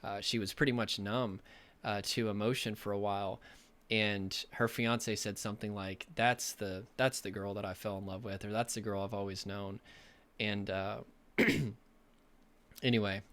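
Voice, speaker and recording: A young man speaking as a recovery coach, hoarse and losing his voice from illness, talking in an earnest, rambling way with many fillers. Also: lowish voice, fairly even intonation, a little slow, a bit bright. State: losing his voice, a bit sick. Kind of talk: casual direct-to-camera talk.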